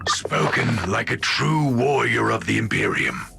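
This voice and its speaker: Game role: stern, dour tactician with a very creaky, gravelly voice, disdainful, over music. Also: male voice, medium pitch, expressive, a little slow, pushed and bright, exaggerated voice. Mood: formal, scornful. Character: dour male tactical adviser, formal and scornful. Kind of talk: dramatic game cutscene dialogue.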